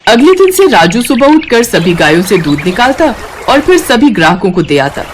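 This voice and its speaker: A woman narrating a scripted devotional moral story in an expressive storytelling style, with music behind her. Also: medium pitch, moderate pace, slight background noise.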